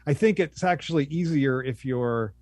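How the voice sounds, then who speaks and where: A middle-aged man is a podcast guest. He speaks in a relaxed, self-deprecating way and thinks aloud as he answers. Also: medium pitch, normal speed, no echo. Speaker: guest academic. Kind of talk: casual interview chat.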